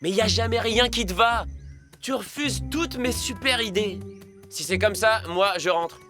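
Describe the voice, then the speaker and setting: A man's voice: high-pitched, unhurried, over music. A man voicing a grumbling, sarcastic animal friend in a cartoon dub. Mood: exasperated. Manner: grumbling, exasperated. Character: grumpy, sleep-deprived, sarcastic male animal friend.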